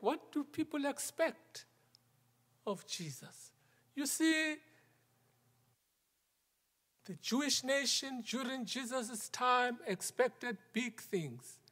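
A middle-aged male minister preaching a sermon from the pulpit, in a measured style that sometimes drops to a near whisper for emphasis. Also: high-pitched, a bit bright. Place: large wooden church sanctuary, at the pulpit. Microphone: pulpit microphone. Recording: long church reverb.

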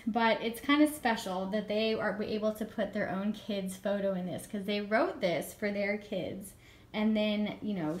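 A woman in her thirties with a slightly hoarse voice from a cold, chatting enthusiastically at home while showing homeschool books to a camera. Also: medium pitch. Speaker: homeschool curriculum reviewer. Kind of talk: casual show-and-tell review. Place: home room with a world map.

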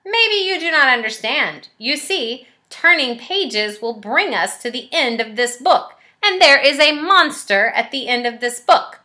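A woman's voice: high voice, lively intonation, a little slow, few pauses, bright, forceful tone, full character voice. A woman voicing a timid, lovable furry male storybook monster in a pleading, melodramatic manner. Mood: determined. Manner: pleading, melodramatic, exaggerated emphasis.

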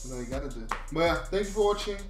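A man playing a soccer game with a friend, excitably commentating and laughing as he goes. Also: on the high side, fast talker, music in the background. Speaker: gaming channel co-host. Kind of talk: casual gameplay commentary. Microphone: desk mic, no camera.

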